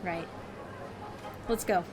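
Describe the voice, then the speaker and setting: A woman's voice: drawn-out words, noisy background. A woman playing a frazzled, impulsive time traveller in an audio drama, with a wry, rambling, informal delivery. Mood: wry, weary. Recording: music playing behind.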